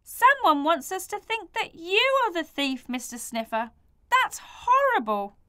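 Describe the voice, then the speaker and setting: A woman's voice: high voice, sing-song, extremely slow, fairly bright tone, smooth, no echo, clean studio sound, pitched above the performer's own voice, full character voice. A woman voicing a grown man who stammers and is easily outraged. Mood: indignant. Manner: stammering, indignant.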